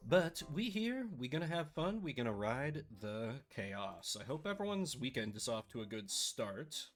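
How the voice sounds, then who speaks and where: A man hosting a casual live stream, chatty and playfully sarcastic, his voice a little rough and scratchy today. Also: medium pitch, very animated, a little slow, soft background music.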